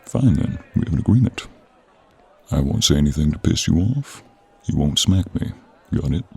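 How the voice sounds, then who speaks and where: Gruff monster hunter played by a man, extremely low husky voice, wry and informal but honourable, for audio roleplay. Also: lively intonation, moderate pace, a little mellow, full character voice. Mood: wry, guarded. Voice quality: gravelly, husky. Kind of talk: audio roleplay acting, speaking to the listener.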